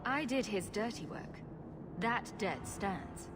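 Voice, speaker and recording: An actress playing a dry, blunt, sardonic adventurer for hire in a fantasy video game, wry and matter-of-fact. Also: female voice, animated, slow pace. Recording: loud ambient rumble under the voice.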